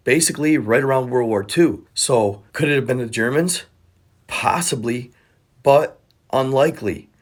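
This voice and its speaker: A man presenting an explainer as a content creator, with a conspiratorial storytelling manner and rhetorical questions. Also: medium pitch. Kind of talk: explainer narration to camera. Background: background music.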